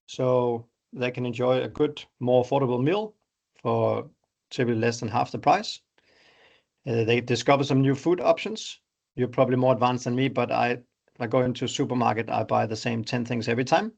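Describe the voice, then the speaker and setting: A man's voice: steady tone, a little fast, creaky, a little mellow. A middle-aged man from Denmark with a Danish accent, presenting his startup in an online webinar in a conversational, explanatory way. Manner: explanatory, conversational pitch with examples.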